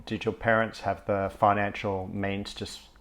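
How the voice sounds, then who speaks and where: A man interviewing a guest, asking open questions and affirming her answers gently. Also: fairly low pitch, level tone, moderate pace.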